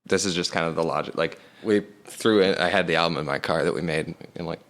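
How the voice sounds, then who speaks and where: A young man and band singer with a low resonant voice, chatting casually in an interview and rambling with lots of filler words. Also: fast talker, fairly bright tone.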